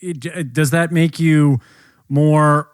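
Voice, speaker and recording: A man's voice: fairly high pitch, fairly even intonation, slow pace, clear, resonant tone, no echo, studio quality. A man hosting a podcast in a casual, conversational style. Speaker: podcast host.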